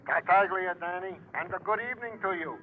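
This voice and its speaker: Actor as high-voiced, officious police desk man. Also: a little fast, lightly acted. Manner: florid, officious. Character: formal, chatty police desk man delivering reports. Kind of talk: 1950s radio crime drama acting. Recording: muffled, noisy old radio recording.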